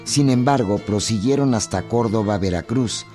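A man giving measured, formal narration for a scripted historical radio drama. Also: on the low side, mellow. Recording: music behind the voice.

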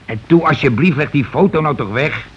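A man's voice: on the high side, fairly quick, natural voice. A man acting in a radio play as a bossy, blustering working-class husband who argues colloquially and keeps demanding agreement. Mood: impatient, bossy. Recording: dull, noisy old radio recording.

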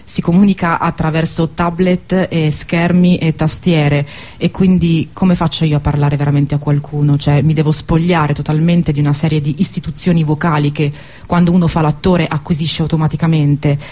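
A woman's voice: deep voice, level tone, moderate pace, few pauses, a little mellow. A woman speaking as a guest in a radio interview, reflective and somewhat hesitant as she works out her thoughts. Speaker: stage actress, guest. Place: radio studio.

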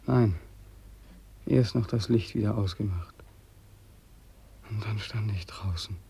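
An actor playing a tormented, despairing, half-blind soldier home from war in a radio play, haunted and agitated. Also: male voice, on the low side, takes it slowly, vocal fry, dark tone, slightly rough, exaggerated voice. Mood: weary, bitter. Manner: agitated, haunted, swinging from whisper to outcry. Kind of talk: German radio play acting.

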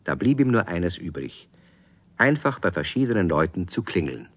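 A man's voice: low voice, big pitch swings, normal speed. A man narrating a children's radio play as a scripted storyteller. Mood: storytelling.

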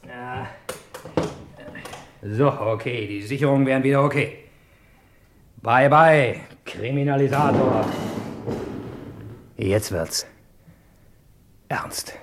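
Gruff radio detective, noisy old recording. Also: male voice, medium pitch, slow pace, long pauses. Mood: grimly alarmed. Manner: clipped, grumbling, swears often. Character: gruff, cursing detective in a crime spoof. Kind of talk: 1950s-70s German radio crime drama acting.

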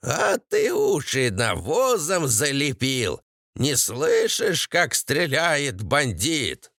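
Man putting on a shrill raging old crone. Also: huge pitch swings, a bit bright, no room sound, studio quality, full character voice. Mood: gloating, scolding. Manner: cackling, scolding, drawn-out rustic speech. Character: vicious triumphant old crone mother-in-law, shrill and raging.

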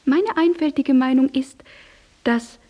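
An actress playing a forthright, teasing young aristocratic woman with a husky voice in a German radio drama. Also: high voice, takes it slowly, lo-fi sound. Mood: admiring, spirited.

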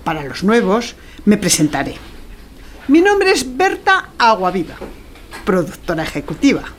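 An actress playing a brisk, bossy woman executive producer in radio theatre, speaking with cutting, emphatic authority. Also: medium pitch, huge pitch swings, slight background noise. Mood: businesslike, commanding.

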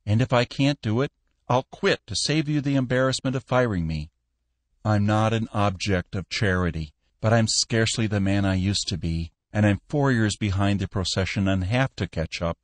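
A man's voice: fairly low pitch, moderate pace, no room sound, close to an everyday voice. A man reading an audiobook, voicing a cheerful young male war veteran looking for a job, earnest and upbeat.

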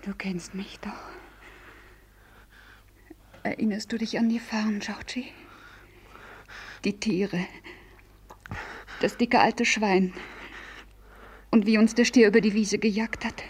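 An actress playing a protective sister in a 1950s radio play, gently coaxing and hesitant, with a breathy, soothing delivery. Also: female voice, mid-range voice, fairly even intonation, unhurried, long pauses. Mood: gentle coaxing. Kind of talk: 1950s German-language radio play acting.

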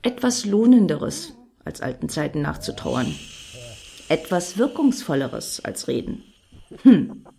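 An actress playing a scheming, grandly solemn woman in a satirical radio play, speaking in deliberate, lofty phrases. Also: deep voice, sing-song, vocal fry, warm tone, full character voice. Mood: solemn, purposeful.

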